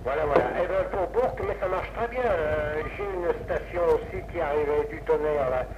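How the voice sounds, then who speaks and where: A man's voice: a little high, normal speed. Male ham operator, rambling and hesitant, casual, over dull, hissy 1960s radio audio. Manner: rambling, hesitant, repeats himself. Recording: noisy lo-fi 1960s amateur radio recording.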